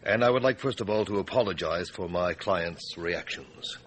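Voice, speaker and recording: A polite family lawyer in a radio drama, his voice slightly low. He is careful and diplomatic, choosing formal words with guarded courtesy, with faint noise in the background. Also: normal speed, a bit bright. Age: middle-aged. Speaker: supporting actor in a detective radio drama.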